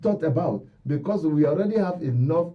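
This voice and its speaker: A man's voice: on the high side, expressive, brisk, distant mic. A Nigerian man speaking as a guest commentator in a radio discussion, emphatic and repeating phrases for stress. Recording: dull, lo-fi radio audio.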